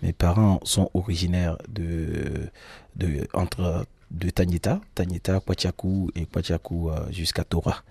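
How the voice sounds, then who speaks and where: A man's voice: sits low, fairly even intonation, measured pace, creaky, warm tone, husky, no room sound. A man in his thirties from Benin with a Beninese accent, telling his own story in an interview as a guide, repeating words for emphasis. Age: 30s. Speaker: tour agency founder and nature guide.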